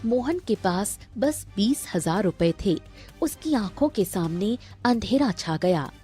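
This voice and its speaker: A woman's voice: mid-range voice, animated, takes it slowly, dark tone, soft background music. A woman reading scripted narration for a children's story.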